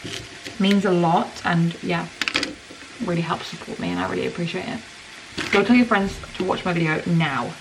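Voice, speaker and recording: A woman's voice: fairly low pitch, moderate pace, long pauses, background noise. A woman in her twenties chatting casually and rambling to camera in her home kitchen while cooking dinner, filling her talk with "like". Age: 20s. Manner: rambling and chatty, lots of "like" fillers. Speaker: vlogger. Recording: camera mic from a distance, sizzling pan. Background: frying food sizzling.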